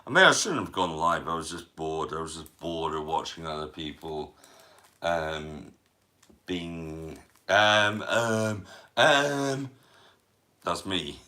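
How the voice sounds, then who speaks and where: A man chats slowly and flatly on a live stream, trailing off into long fillers. His low voice still carries a bright, forceful edge. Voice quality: monotone, mumbling fillers. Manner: rolling a cigarette while talking. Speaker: livestream host. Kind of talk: casual live-stream chat.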